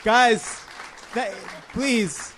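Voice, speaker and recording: A man's voice: very high-pitched, drawn-out words, bright, forceful tone, some chatter behind, a little hiss or hum. A man hosting a comedic panel podcast, bantering with wry, teasing sarcasm. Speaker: podcast host.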